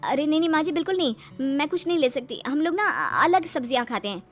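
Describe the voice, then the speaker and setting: A woman's voice: high voice, lively intonation, fast talker, soft background music. A woman voicing an exasperated young daughter-in-law in a comedy cartoon, pleading and protesting. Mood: flustered. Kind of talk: Hindi comedy cartoon story acting.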